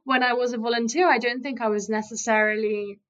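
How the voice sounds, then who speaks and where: A woman's voice: on the high side, fairly even intonation, a little fast, a bit bright, lo-fi sound. A woman who speaks Farsi natively, co-hosting a podcast in a chatty, self-deprecating way. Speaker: podcast co-host. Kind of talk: casual podcast conversation.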